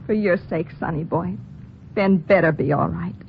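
A woman's voice: lowish voice, moderate pace, vocal fry. An American actress playing an anguished, bitter, tough-talking woman in old radio melodrama, emotional and slangy. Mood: bitter, furious. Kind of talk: 1940s-50s radio melodrama acting. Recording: muffled, slightly noisy old radio recording.

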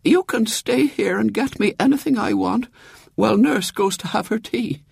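High, feeble, imperious old great-aunt. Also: male voice, moderate pace, creaky, slightly rough, no room sound, studio quality, full character voice. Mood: drowsy, commanding. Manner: imperious, bluntly appraising. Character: frail, blunt old great-aunt on her deathbed.

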